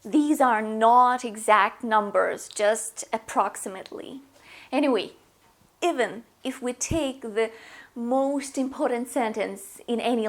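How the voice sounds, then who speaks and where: A young woman teaching a language lesson in front of a whiteboard, explaining slowly and gesturing as she goes. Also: a little high. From Russia. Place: indoors, small room in front of a whiteboard.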